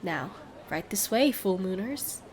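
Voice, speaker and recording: A woman's voice: mid-range voice, lively intonation, slight background noise. Woman playing a theatrical menagerie showwoman, grand patter. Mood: hushed, ominous. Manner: grand, salesmanlike patter with dramatic pauses. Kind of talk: scripted fantasy audio roleplay.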